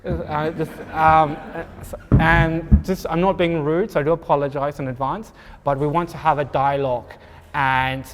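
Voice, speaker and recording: A man from Pretoria with an Afrikaans accent, moderating a public panel talk in a halting way, with many ums and restarts. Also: a little high, fairly even intonation, in a large hall, slight background noise. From Pretoria, South Africa.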